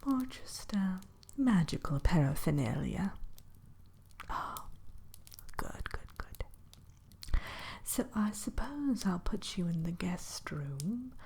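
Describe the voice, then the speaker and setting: A woman playing a haughty, grudging witch innkeeper in a whispered ASMR roleplay, speaking in prim, old-fashioned phrases with hesitant pauses. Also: fairly low pitch, very animated, vocal fry, a little mellow, slightly rough, full character voice. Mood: grudgingly accommodating.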